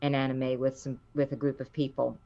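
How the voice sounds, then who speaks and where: A middle-aged woman with a low voice, a voice actor chatting in an interview and acting out the quotes in her stories. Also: fairly quick, gravelly, muffled recording. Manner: animated storyteller, acts out quotes with rising pitch. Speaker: voice actor guest. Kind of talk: casual interview chat.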